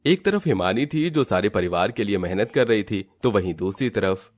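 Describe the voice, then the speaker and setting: A man serves as the storyteller for a cartoon family tale. His voice sits fairly low, and he reads scripted narration in a storybook style. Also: lively intonation, moderate pace.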